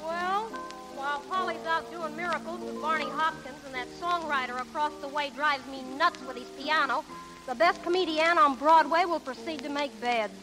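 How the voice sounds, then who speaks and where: A woman's voice: high voice, normal speed, lightly acted. An actress playing a sardonic, slangy showgirl comedienne in a 1930s radio play. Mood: wry. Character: wisecracking brassy showgirl comedienne. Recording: vintage 1930s radio, music, crackle.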